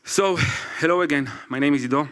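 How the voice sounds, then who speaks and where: A man in his forties with an Israeli accent, a native Hebrew speaker, reading a prepared talk from his phone to a live audience. He speaks carefully, with pauses and apologies. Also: fairly high pitch, fairly bright tone, rough recording. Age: 40s. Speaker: speaker at a public event. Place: event stage before a live audience.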